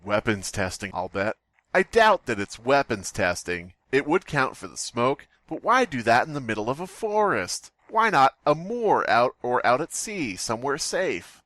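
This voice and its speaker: A man's voice: medium pitch, sing-song, normal speed, a bit bright, dry recording. A man co-hosting a podcast, joking around and reading stories aloud in a wry, mock-outraged manner. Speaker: podcast co-host.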